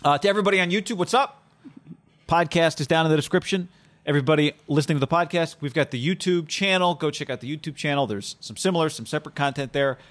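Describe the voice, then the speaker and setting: A man, co-hosting a sports podcast in a laid-back, halting way and reading plugs for the show. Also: a little high, a bit bright. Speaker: podcast co-host.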